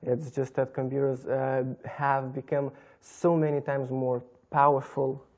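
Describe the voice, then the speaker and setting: A young man with a Lithuanian accent, answering interview questions as a guest in a measured, explanatory way. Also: medium pitch, level tone, darkish tone. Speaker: computer business guest. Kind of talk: interview talk. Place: TV studio in a hotel.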